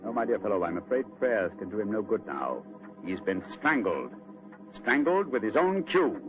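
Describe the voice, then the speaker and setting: An actor playing a sharp, cool-headed male detective in an old radio drama, crisp and deliberate. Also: lowish voice, big pitch swings, loud music behind the voice. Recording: thin phone-like radio recording, hiss.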